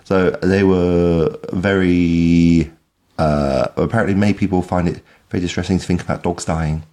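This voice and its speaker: A man's voice: sits low, steady tone, normal speed, mellow. A British man co-hosting a podcast, chatting casually with a droll, slightly mock-formal turn of phrase. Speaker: podcast host. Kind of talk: casual conversational chat.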